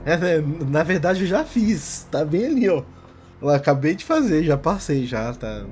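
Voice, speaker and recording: A man's voice: a little high, expressive, normal speed, darkish tone, faint music behind, pitched above the performer's own voice, lightly acted. A man with a Brazilian accent voicing an obliging, tired office clerk in a tabletop game, casual and repetitive. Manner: casual, repeats himself.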